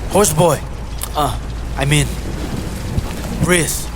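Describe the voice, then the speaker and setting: A man's voice: a little high, very slow, noisy background. An actor playing a resolute, bossy male warrior with mystic powers in an audio drama, speaking tersely. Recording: music under the voice.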